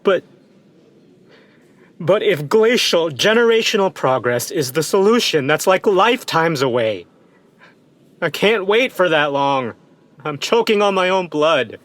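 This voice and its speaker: An exasperated, higher-voiced young American son. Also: male voice, big pitch swings, unhurried, pauses often, fairly bright tone. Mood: anguished, indignant. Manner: impassioned, exasperated. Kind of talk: audio play acting.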